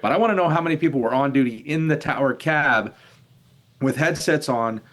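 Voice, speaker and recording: An older man, an expert guest in a news interview, explaining in a measured, halting way. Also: medium pitch, a bit bright. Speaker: retired air traffic controller, expert guest.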